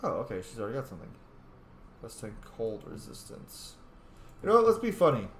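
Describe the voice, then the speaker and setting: A man's voice: medium pitch, huge pitch swings, brisk. A man doing casual gameplay commentary as host, informal and reacting aloud as he plays. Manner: informal, reacts aloud, mutters on-screen text. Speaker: gaming video host, player. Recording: roomy echo, faint background noise.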